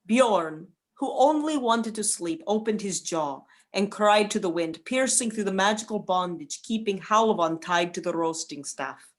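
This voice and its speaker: A woman's voice: mid-range voice, animated, unhurried. A woman narrating a scripted folktale as a dramatic storyteller for a radio play.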